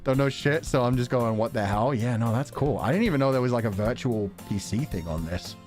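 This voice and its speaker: A man's voice: medium pitch, brisk, darkish tone, soft background music. A man livestreaming and chatting casually with viewers in a wry, sarcastic way, swearing now and then.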